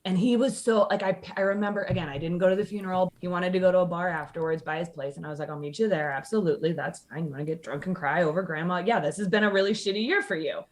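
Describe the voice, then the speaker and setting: A woman's voice: lowish voice, fast, hardly any pauses. A woman telling a personal story casually as a podcast guest, candid, sardonic and sweary, acting out the dialogue she quotes.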